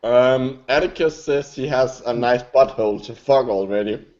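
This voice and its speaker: A man's voice: medium pitch, normal speed, darkish tone. A man co-hosting a podcast, joking around and stumbling over his words. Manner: hesitant, repeats words, jokey. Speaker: podcast co-host. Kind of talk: casual banter. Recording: dull lo-fi audio.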